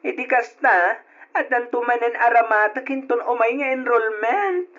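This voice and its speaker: A woman's voice: fairly high pitch, normal speed, a bit bright, lightly acted. A woman playing a willing young student in a radio drama. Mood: willing, interested. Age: young adult. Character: willing young student looking for side work. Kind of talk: Ilocano radio drama acting. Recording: dull lo-fi old radio recording.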